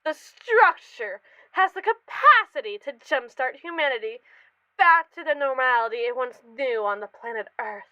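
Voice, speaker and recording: A woman's voice: sits high, lively intonation, moderate pace, sharp tone, no echo, lo-fi sound. A woman playing a pompous, callous space mogul in a scripted, theatrical ASMR roleplay monologue, condescending to the colonists beneath her. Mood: grandiose. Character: haughty, callous female space mogul lording over colonists.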